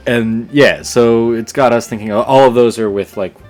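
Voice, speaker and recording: A young man co-hosting a podcast, talking casually in a relaxed, rambling way with lots of fillers and restarts. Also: mid-range voice, a little slow, soft background music. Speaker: podcast co-host. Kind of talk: casual conversation.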